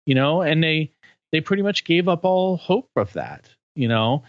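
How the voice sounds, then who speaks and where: A man hosting a candid podcast conversation, working his thoughts out slowly with lots of restarts and "you know"s. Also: on the high side, sing-song, dry recording, highs cut off. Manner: halting and searching, many restarts and fillers. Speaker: podcast host.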